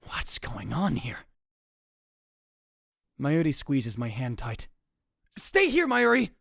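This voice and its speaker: A man's voice: mid-range voice, huge pitch swings, takes it slowly, fairly bright tone, no room sound, clean studio sound, full character voice. A man voicing a young male student shaken by a murder, narrating a visual novel in a tense first-person inner monologue that often drops to a whisper. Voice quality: husky. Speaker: narrator and lead voice in a fan dub.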